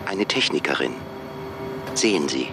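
Very deep, formal interviewing computer. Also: male voice, animated, a little slow, music in the background. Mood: cool, formal. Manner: formal, clipped, impersonal, matter-of-fact. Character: cool, formal job-interview computer program. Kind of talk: radio drama acting.

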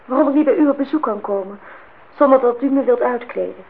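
A woman's voice: fairly high pitch, fast talker, gravelly. An actress playing an indignant, disillusioned young woman in a Dutch radio play, outspoken and reproachful as she speaks her mind. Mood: defensive, upset. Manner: reproachful, talks in long outpourings. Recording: dull, noisy old radio play recording.

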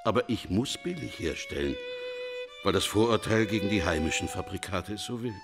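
A man's voice: on the low side, expressive, fairly quick, creaky, over music. An actor playing a middle-aged wine maker and father in a radio play, formal and self-justifying. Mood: defensive, harried. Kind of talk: German radio play acting.

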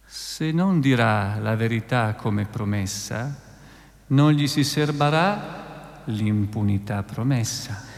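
An actor playing a stern narrator and interrogator of a historical trial, declamatory and ironic, in a theatrical radio play. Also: male voice, medium pitch, slow pace. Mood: ironic narration.

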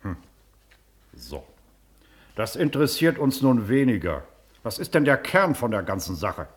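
An actor playing a pompous, irritable baron and district official in a radio play, condescending and sarcastic in manner. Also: male voice, medium pitch, big pitch swings, a little slow. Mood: impatient. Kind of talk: German radio play acting.

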